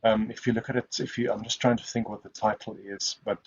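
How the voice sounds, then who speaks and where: A man's voice: on the low side, little pitch movement, brisk, slight background noise. A man whose first language is Afrikaans, answering webinar questions in a rambling way with many restarts. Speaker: guest speaker. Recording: muffled lo-fi call audio.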